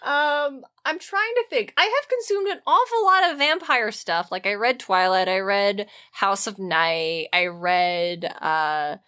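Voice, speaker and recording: A woman's voice: medium pitch, slow, few pauses, pushed and bright, clean tone. A woman co-hosting a podcast in casual, slangy, emphatic conversation. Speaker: podcast co-host.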